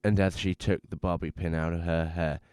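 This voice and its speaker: A man's voice: low voice, little pitch movement, a little fast, a little mellow, smooth, no echo, clean studio sound, lightly acted. A man playing a bitter, guarded drifter in a radio drama, with a brooding, profane way of talking. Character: bitter, guarded male drifter fleeing his burnt-down life. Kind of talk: noir-style radio drama monologue and dialogue. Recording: noisy background of car and road. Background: car driving ambience.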